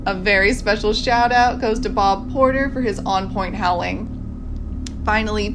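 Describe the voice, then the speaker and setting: A woman's voice: medium pitch, background noise. A woman reading a scripted outro as announcer for an audio drama series. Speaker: announcer for a theatre company. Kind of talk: scripted podcast outro, thanks and credits. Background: music.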